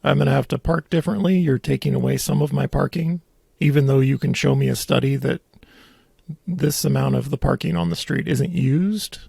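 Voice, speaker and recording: A man's voice: mid-range voice, fairly quick, vocal fry, dark tone, breathy edge, dry recording, clean studio sound. A man hosting a casual podcast, talking informally with a wry manner. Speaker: podcast host. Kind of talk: casual conversational podcast commentary.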